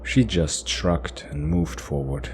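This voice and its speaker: A man's voice: sits low, slow, a little hiss or hum. A man narrating a fantasy audio drama in a scripted, dramatic storytelling style.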